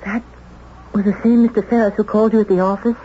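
An actress playing a prim, earnest young secretary in a 1970s radio drama, polite, hesitant and easily indignant. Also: female voice, medium pitch, level tone, quick pace, mellow, close to an everyday voice. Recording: muffled 1970s radio broadcast, some hiss.

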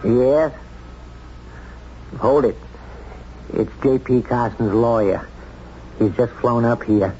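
An actor playing a plainspoken, kindly small-town sheriff in a 1970s radio drama, earnest in manner. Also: male voice, mid-range voice, takes it slowly, pauses often, warm tone, close to an everyday voice. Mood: concerned. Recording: muffled, noisy old radio drama tape.

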